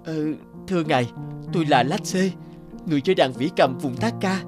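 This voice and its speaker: A man's voice: a little high, normal speed, over music, lightly acted. An actor playing a devoted, polite young male violinist in a cartoon. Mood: earnest. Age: young adult. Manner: earnest, polite.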